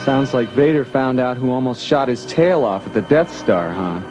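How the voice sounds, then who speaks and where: A man's voice: mid-range voice, animated, moderate pace, lightly acted. An actor playing an eager, earnest young rebel pilot who teases his friends. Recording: dull lo-fi 1980s record with music.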